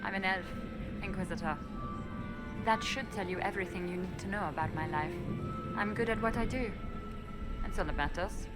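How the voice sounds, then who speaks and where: An actress voices a female elven ambassador and spymaster from a fantasy game. She is guarded and matter-of-fact, with coolly defiant composure and a mid-pitched voice. Music plays clearly behind her. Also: close to an everyday voice. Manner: measured, matter-of-fact, dry. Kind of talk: video game dialogue acting.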